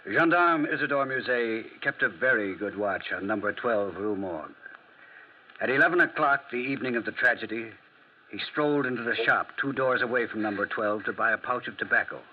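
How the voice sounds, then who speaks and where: A man's voice: medium pitch, a little hiss or hum, lightly acted. Formal 1940s radio narrator, played by a man, muffled. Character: male storyteller, formal and portentous.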